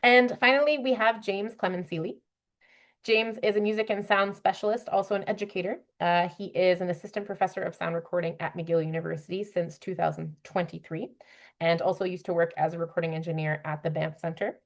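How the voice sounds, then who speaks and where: Woman, lower-pitched voice, panel host, moderating a discussion. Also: steady tone, fairly quick.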